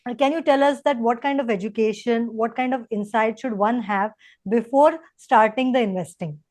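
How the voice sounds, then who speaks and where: High-voiced Indian woman interviewer. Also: normal speed, darkish tone. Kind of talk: conversational interview questioning.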